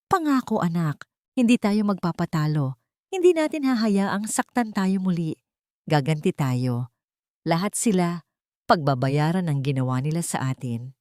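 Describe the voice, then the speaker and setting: A woman's voice: lowish voice, expressive, normal speed, a little mellow, dry recording, clean studio sound, lightly acted. A woman playing a wounded young mother. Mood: vowing revenge. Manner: pleading, emotional. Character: wounded young mother, loving, quietly resolute.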